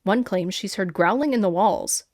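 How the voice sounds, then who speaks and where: Woman podcast host reading listener stories, chatty and animated, super dry sound, casual asides. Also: mid-range voice, normal speed, no echo. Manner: animated, conversational reactions breaking into the reading. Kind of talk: casual chatty storytelling with read-aloud emails and asides.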